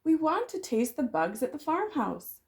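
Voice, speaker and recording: A woman voicing timid but curious, easily startled chickens from a children's storybook in an exaggerated, expressive way. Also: high voice, normal speed, pitched above the performer's own voice. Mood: determined.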